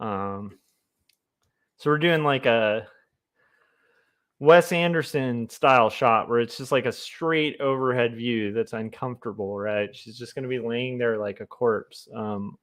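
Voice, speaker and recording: A man of middling pitch talks casually to his stream while drawing. His voice is naturally loud and sits close on the mic. He rambles along with plenty of ums and likes. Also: a little slow. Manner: rambling, chatty, many fillers. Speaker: comic artist streamer. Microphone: desk mic close to the mouth.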